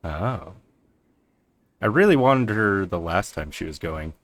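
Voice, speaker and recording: Deep-voiced man chatting over a game. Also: expressive, takes it slowly, fairly bright tone. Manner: informal, rambling. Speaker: game streamer. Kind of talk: casual game commentary, chatting. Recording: faint game music behind.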